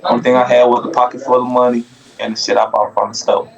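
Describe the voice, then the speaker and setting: A man's voice: mid-range voice, level tone, fast talker, gravelly, highs cut off, crowd noise. A man who is a podcast guest, telling a long casual story in a rambling, profane way and asking "you feel me" again and again.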